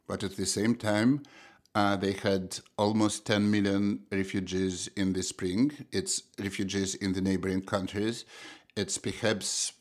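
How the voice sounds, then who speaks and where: A middle-aged man from Russia with a Russian accent, answering interview questions as an economist, thoughtful and halting with frequent restarts. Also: fairly low pitch, flat delivery. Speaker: economist guest.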